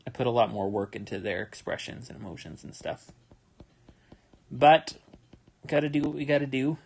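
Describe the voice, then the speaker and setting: A man's voice: mid-range voice, moderate pace, creaky, slightly rough. Tired man chatting while he draws. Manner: relaxed, rambling, with many fillers and restarts. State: tired, yawning. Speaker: manga artist streaming his drawing. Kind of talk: casual livestream commentary while drawing.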